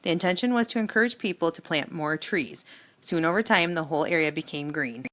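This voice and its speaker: Low-pitched woman reading a storybook. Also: fairly quick, a bit bright. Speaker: storybook reader. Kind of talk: read-aloud children's story narration.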